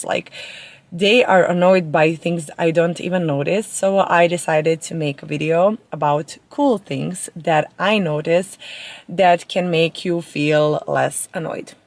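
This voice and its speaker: A woman's voice: deep voice, no room sound. A young woman, a native Serbian speaker with a Serbian accent, chatting casually to camera in an informal, rambling way as a vlogger. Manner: informal, rambling with self-corrections.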